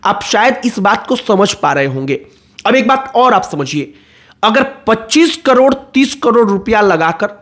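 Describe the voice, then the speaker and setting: A man's voice: high-pitched, a bit bright. Man explaining political news. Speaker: political commentator, host.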